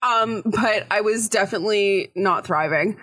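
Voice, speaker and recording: A woman's voice: medium pitch, normal speed, fairly bright tone. A Canadian woman co-hosting a podcast in casual, informal chat peppered with filler words. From Northern Ontario, Canada. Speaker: podcast host.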